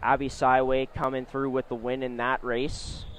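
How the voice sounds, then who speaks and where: A man calling a swim meet live as play-by-play commentator, with measured announcer phrasing. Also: medium pitch. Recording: steady clear background venue noise. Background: swim meet ambience.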